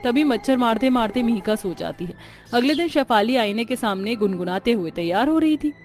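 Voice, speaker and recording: A woman's voice: on the high side, animated, moderate pace, a little mellow, soft background music. A woman reading a scripted family moral story as its narrator.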